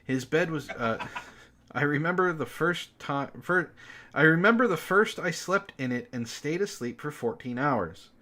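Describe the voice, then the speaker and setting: A man's voice: a little high, lively intonation, measured pace, pushed and bright. A man reading amateur fiction aloud expressively, breaking in with sarcastic, exasperated comments as a book club host.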